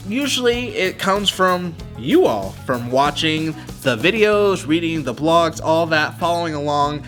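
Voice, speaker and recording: An American man hosting a local web show, chatty and energetic as he introduces his guest outdoors. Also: a little high. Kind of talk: upbeat interview host chat. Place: outdoors at a local museum, windy.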